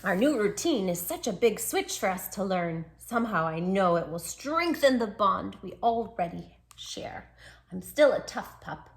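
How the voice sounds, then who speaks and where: A woman's voice: mid-range voice, sing-song, measured pace. A woman voicing a sweet, plucky little male dachshund who tells his own storybook tale with earnest, dramatic emphasis. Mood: plucky, reassuring. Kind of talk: children's storybook read-aloud.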